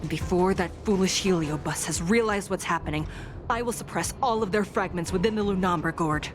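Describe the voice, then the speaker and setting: A woman voicing a composed, authoritative, dutiful warrior in a video game, speaking formally and calmly. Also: fairly low pitch, noisy background, natural voice. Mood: resolute. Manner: formal, calm, measured. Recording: game music behind the voice.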